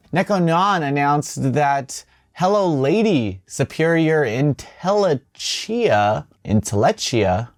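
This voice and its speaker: A man's voice: medium pitch, dry recording, studio quality. A man hosting video game news in an informal, wry commentary style.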